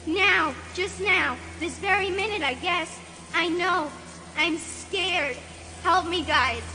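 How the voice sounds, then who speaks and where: A woman playing a frightened, pleading, ghostly young boy in a dramatized audiobook, speaking in a halting, plaintive way. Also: very high-pitched, bright, forceful tone, slight background noise, full character voice. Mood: scared, pleading. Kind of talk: dramatized audiobook radio theatre acting. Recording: long cavernous reverb, faint music.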